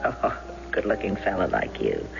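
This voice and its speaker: Wry, lilting middle-aged flirt. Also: female voice, rumbling low, huge pitch swings, normal speed, creaky, heavily acted. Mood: teasing, knowing. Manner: arch, teasing, bookish. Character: wry, worldly, middle-aged lonely woman flirting in a bar. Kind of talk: radio drama acting. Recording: dull old radio recording, music behind.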